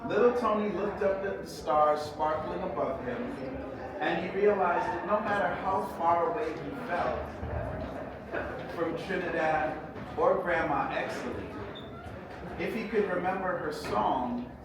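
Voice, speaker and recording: A man with a fairly high voice reads a children's story aloud at a slow, deliberate pace. His delivery is expressive and theatrical, full of dramatic pauses. He sounds distant from the mic in a very echoey, noisy room. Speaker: author reading own book. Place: echoey indoor event space.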